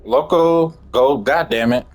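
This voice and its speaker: A man's voice: mid-range voice, unhurried. A man giving casual gameplay commentary in a blunt, slangy, sweary style. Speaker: gamer reacting to game story. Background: faint game music.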